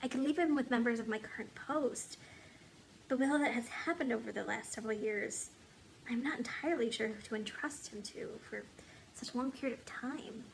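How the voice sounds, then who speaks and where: An earnest, wise and loyal woman of a storybook kingdom, voiced by a female reader. She speaks in measured phrases at a raised pitch, with faint noise behind her. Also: creaky, low-quality recording. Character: loyal, determined woman, wise old friend of a king. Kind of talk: storybook character dialogue.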